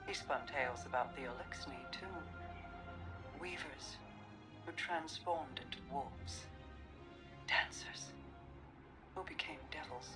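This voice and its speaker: An actress playing a wistful, weary queen, speaking in a hushed, measured way in a dramatic game cutscene. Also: a bass voice. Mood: wistful reminiscence. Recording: slightly distant, music behind.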